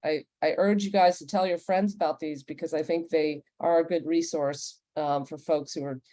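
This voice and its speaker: Mellow, lowish-voiced woman leading a panel. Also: steady tone, normal speed, dry recording. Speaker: senior content executive, panel host. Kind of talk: casual panel talk.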